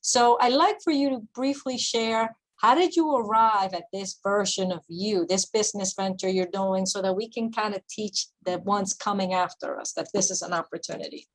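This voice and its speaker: A woman with a medium-pitched voice moderates a panel. She is chatty and informal, and slips Spanish interjections into her talk. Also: steady tone, normal speed, few pauses, low-quality recording. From Dominican heritage. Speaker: moderator, host.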